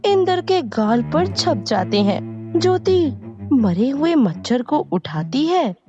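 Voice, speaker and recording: A woman narrating a scripted family story as an expressive storyteller. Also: high voice, slow pace, dull audio. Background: background music.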